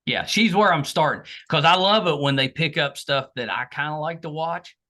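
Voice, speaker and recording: A man's voice: fairly high pitch, normal speed, bright, forceful tone. An older American man hosting a casual, chatty interview in an encouraging, chummy way. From American South. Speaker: podcast host and interviewer.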